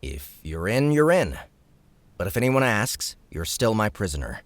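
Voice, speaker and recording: A man's voice: lowish voice, sing-song, unhurried, no echo. A man playing a laid-back young gamer turned would-be villain in an audiobook, explaining things in a matter-of-fact way. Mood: resigned, conceding. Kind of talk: audiobook character acting.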